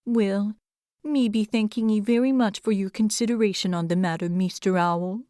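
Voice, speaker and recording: A woman's voice: on the high side, unhurried, darkish tone, dry recording, studio quality, voice pushed up, lightly acted. A woman voicing a shy, meek little male hedgehog who talks in quaint, broken grammar. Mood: timid.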